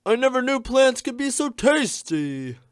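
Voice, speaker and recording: A food-loving chicken with a high, very lively voice, performed by a man for a comic radio sketch. He is easygoing yet greedy and comically earnest, prone to exclamations. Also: unhurried, clear, resonant tone, dry recording, studio quality, exaggerated voice. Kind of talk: radio sketch comedy acting.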